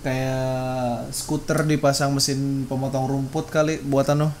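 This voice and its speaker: A man's voice: medium pitch, level tone, measured pace, darkish tone, slight background noise. Pria muda santai, rekaman agak berderau. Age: young adult. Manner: slangy, joking. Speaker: podcast host. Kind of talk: casual chat.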